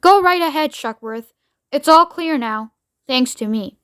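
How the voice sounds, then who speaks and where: A blustering, self-important male president who barks and shouts, as a woman narrator puts him on. The voice sits high and tight, and it is recorded close and dry with no room sound. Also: animated, a little slow, no room sound. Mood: boastful.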